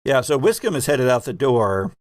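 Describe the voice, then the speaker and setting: A man narrating a sci-fi horror tabletop game as host and game master, with measured, dramatic storytelling. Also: medium pitch, big pitch swings, dry recording, clean studio sound.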